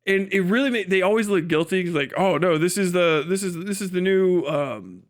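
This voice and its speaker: An American man with a fairly high voice talks off the cuff to his livestream. He is irreverent and profane, joking his way through loose, casual commentary. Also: expressive, a little fast. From United States. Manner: breaks often into chuckles. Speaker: livestreamer and podcast host.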